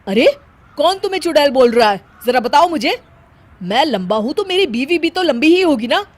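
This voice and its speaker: A woman plays a young soldier husband in a cartoon tale, protective and indignant. The voice is high and emphatic. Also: sing-song, moderate pace.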